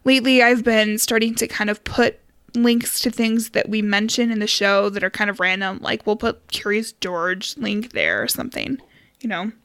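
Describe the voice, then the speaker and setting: A woman's voice: mid-range voice, steady tone, normal speed, a bit bright, smooth, no echo, clean studio sound. A woman co-hosting a podcast in casual, informal conversation with a giggly manner. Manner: informal, giggly, mildly sweary. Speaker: podcast co-host.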